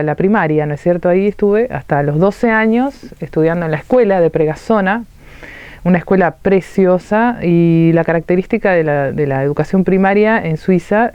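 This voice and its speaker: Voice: mid-range voice, darkish tone, clear, resonant tone, no echo, dull audio. Musician reminiscing with a Rioplatense accent. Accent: Rioplatense Spanish. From Uruguay, raised in Ticino, Switzerland. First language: Italian. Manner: reminiscing, fond, with small restarts. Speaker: musician interviewee. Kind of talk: personal recollection in an interview.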